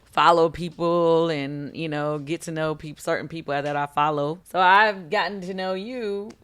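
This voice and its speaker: A woman with a somewhat low, hoarse voice hosts a casual talk show. She speaks bluntly and emphatically, leaning hard on her words. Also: steady tone, moderate pace. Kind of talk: casual gossip chat.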